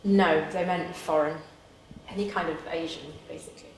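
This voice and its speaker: A woman's voice: low-pitched, fairly even intonation, normal speed, natural voice. A woman playing a breezy, glib casting agent with an offhand, casually ignorant manner in a film scene. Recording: echoey room, clear background noise.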